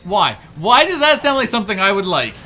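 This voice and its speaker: Male let's play co-host: casual, animated, slightly high-pitched, music playing underneath. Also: brisk. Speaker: player and co-host of a gaming show. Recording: game music clearly behind the voice. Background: video game music.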